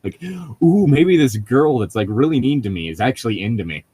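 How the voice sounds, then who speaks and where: A man's voice: medium pitch, expressive, moderate pace, dark tone, slightly rough, no echo. A man in casual group chat, rambling and sardonic, with frequent swearing.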